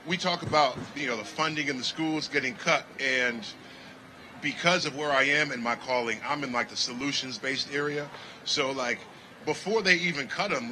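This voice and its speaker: Animated musician, bright voice. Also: male voice, mid-range voice, slight background noise, rough recording. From Connecticut, United States. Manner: animated, preachy, repeats "you know what I mean". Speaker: jazz musician guest. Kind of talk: casual outdoor festival interview.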